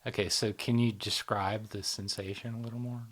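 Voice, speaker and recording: A man with a fairly low voice hosts a relaxed interview. He keeps his guest going with frequent affirmations and picks up energy when he presents figures and topics. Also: normal speed. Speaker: interviewer and host. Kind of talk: casual interview, running through a topic list.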